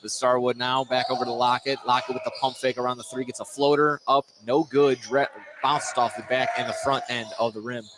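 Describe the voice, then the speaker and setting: A man's voice: medium pitch, little pitch movement, fairly quick, few pauses. A man with an American accent doing live play-by-play radio commentary of a basketball game. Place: indoor sports gym. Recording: loud gym noise behind. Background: loud game ambience.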